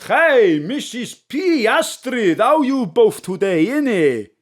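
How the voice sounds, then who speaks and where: Picture an actor playing a brash shopkeeper who talks loud and slangy. His pitch leaps around, and he drags each phrase out slowly. He sounds like a man who has a grievance about his street. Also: very animated. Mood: cheerful. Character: rough-talking male local shopkeeper.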